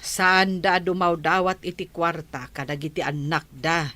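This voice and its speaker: A reproving village woman acted by a woman in a radio comedy drama. She chats and gossips in a slightly low voice. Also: steady tone, measured pace, bright, forceful tone, no room sound.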